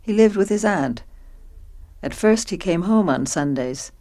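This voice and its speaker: A woman's voice: on the low side, lively intonation, unhurried, mellow. A woman playing a long-suffering, middle-aged working mother in a radio drama, narrating and speaking in scenes. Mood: wistful, resigned.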